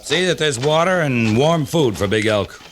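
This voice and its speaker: An actor playing a stern, principled Old West cavalry captain in a radio western, speaking in clipped, commanding military style. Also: male voice, mid-range voice, animated, moderate pace, natural voice. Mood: stern reproof. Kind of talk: 1950s radio western acting. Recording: old radio drama recording, faint hiss.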